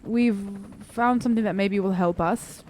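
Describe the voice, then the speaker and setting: A woman's voice: medium pitch, normal speed, a little mellow, a little hiss or hum. A woman playing a tabletop game in casual, improvised talk, hesitantly rephrasing as she goes.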